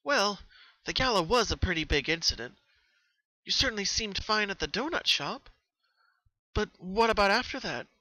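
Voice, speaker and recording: A man's voice: sits high, animated, moderate pace, pushed and bright, dry recording, voice pushed up, rough recording. A man voicing a studious, caring young female unicorn who speaks in an earnest, analytical and reassuring manner in a story reading. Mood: inquisitive.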